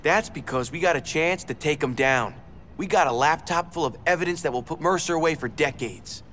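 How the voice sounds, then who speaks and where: A man playing a confident, resourceful young American street racer in a video game, with brisk, casual delivery. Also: fairly high pitch, fairly bright tone, lightly acted. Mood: casual, purposeful. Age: young adult. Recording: vehicle noise behind.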